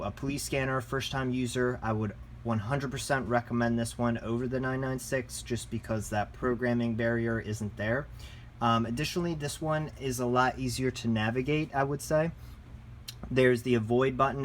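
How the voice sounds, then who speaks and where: A man's voice: mid-range voice, a little hiss or hum. A man giving a casual, unscripted product review as a shop presenter, in a conversational, enthusiast way.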